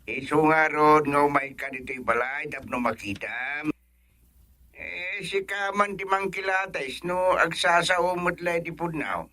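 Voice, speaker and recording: Village father-in-law for a radio drama, takes his time, plain talker, voice a bit high. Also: measured pace, pushed and bright. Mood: matter-of-fact. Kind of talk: radio comedy drama acting.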